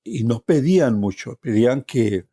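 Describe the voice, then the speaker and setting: A man's voice: medium pitch, expressive, moderate pace, breathy edge, dry recording, clean studio sound. A middle-aged man with a Colombian accent tells his life story reflectively, repeating words for emphasis and ending phrases with little tag questions. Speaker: journalist telling his life story as podcast guest. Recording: soft plucked guitar music behind.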